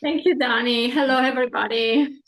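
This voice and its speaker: A woman in her forties from Italy with an Italian accent, a guest telling her story in a lively, animated way, laughing often between many fillers. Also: high-pitched, moderate pace, rough recording. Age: 40s. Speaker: guest, hypnosis practitioner. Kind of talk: casual interview chat.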